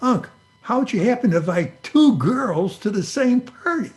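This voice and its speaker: A woman's voice: a little high, animated, unhurried, a little mellow. A woman playing a wisecracking young nephew in an old-time radio comedy, with a cheeky, smart-alecky manner. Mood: curious.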